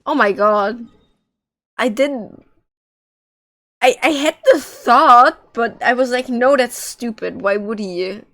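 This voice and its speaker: A woman's voice: fairly high pitch, takes it slowly, pauses often, fairly bright tone. A woman streaming a game, reacting casually and musing aloud in a hesitant way. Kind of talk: casual live reaction commentary.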